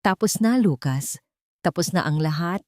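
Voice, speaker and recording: A woman voicing a calm, wronged wife and mother in an audio drama, speaking in a hushed, controlled, cutting way. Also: on the low side, moderate pace, no room sound, studio quality, close to an everyday voice. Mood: soothing.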